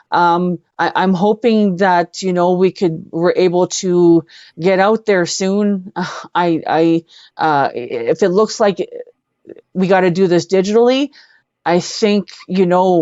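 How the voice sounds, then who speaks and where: Canadian woman chatting as a guest, kinda low voice, earnest and halting, muffled lofi but dry sound. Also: darkish tone, no echo. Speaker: guest, singer-songwriter. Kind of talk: casual live conversation.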